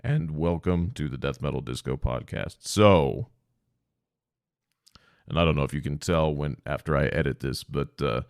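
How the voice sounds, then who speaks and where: A deep-voiced man rambles through his own podcast, stuffy and congested with a slightly sore throat. The sound is close and dry with no room around it. His tone is loose and self-deprecating, and he swears now and then. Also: moderate pace, vocal fry, clean tone, dry recording. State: sore throat from long talking. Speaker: podcast host. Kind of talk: rambling solo podcast talk.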